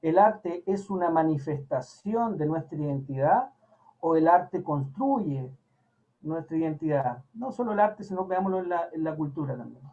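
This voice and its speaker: A man's voice: a little high, animated, normal speed, dark tone, dull audio. A man hosting a panel, asking probing questions and giving short replies. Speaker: host. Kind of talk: moderating a panel discussion.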